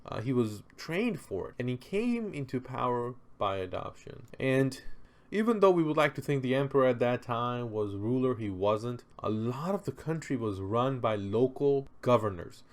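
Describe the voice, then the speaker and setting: A man's voice: medium pitch, expressive, moderate pace. A man presenting an unscripted, conversational explainer, speaking emphatically with rhetorical questions and repeated phrases for stress. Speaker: presenter explaining history and philosophy.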